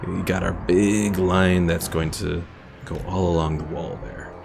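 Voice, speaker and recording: A man's voice: low voice, steady tone, a little slow, vocal fry. A man streaming a video game, giving casual, laid-back, chatty commentary as he plays. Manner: laid-back, chatty, reading chat aloud. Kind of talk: casual live gameplay commentary. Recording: game music faintly behind. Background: video game music.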